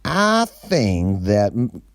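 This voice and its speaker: A man voicing a nervous, awkward, tall male store manager, speaking in a raised, drawn-out, hesitant way. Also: fairly low pitch, huge pitch swings, dry recording, clean studio sound, full character voice. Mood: fretful.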